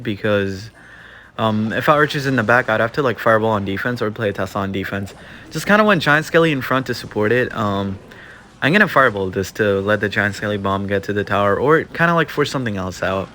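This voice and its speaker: A young man giving casual play-by-play commentary over his own mobile game match, with lots of filler words. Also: fairly low pitch. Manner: relaxed, filler-heavy play-by-play with many "like" and "um". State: slightly losing his voice. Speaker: gaming youtuber. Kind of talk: casual live gameplay commentary.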